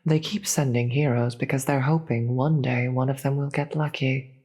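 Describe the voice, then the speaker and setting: A performer voicing a proud, imperious demon queen in a fantasy audio roleplay, deliberate and theatrical, with a breathy voice and contempt for the gods. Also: medium pitch, fairly even intonation, darkish tone, natural voice. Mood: scornful, resolute. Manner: deliberate, theatrical, grandiose speech with dramatic pauses.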